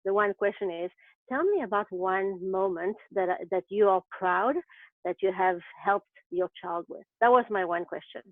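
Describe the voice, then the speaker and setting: A woman's voice: medium pitch, moderate pace, no room sound, narrow, tinny audio. A woman from France with a French accent, speaking reflectively with many fillers in a webinar. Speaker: program co-founder presenting. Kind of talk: webinar talk. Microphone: video call.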